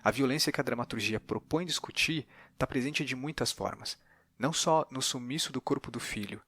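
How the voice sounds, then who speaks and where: A man's voice: medium pitch, fairly bright tone. A man with a Brazilian accent hosting an interview podcast, moving between narrated summaries and conversational questions.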